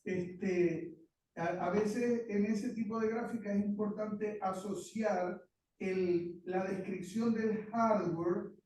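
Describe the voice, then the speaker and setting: A man's voice: high-pitched, steady tone, slow, warm tone. A man from the audience giving a careful comment after a research talk, hesitating and restarting as he goes. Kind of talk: question-and-answer comment. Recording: distant room mic, strong echo.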